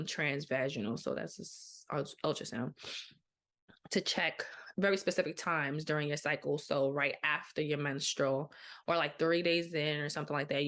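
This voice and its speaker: A woman in her thirties hosting a podcast, telling a personal story in a casual, chatty way with lively quoted asides. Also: deep voice. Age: 30s. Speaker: podcast host. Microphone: podcast microphone.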